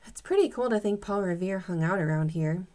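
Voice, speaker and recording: A woman's voice: on the low side, brisk, dark tone, natural voice. Woman voicing a playful young storybook boy.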